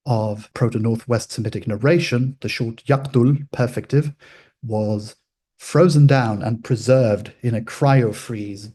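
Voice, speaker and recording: A man whose first language is Swedish, explaining ancient grammar in an informal scholarly way, pausing to restate and qualify his points. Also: on the low side, mellow, slightly rough.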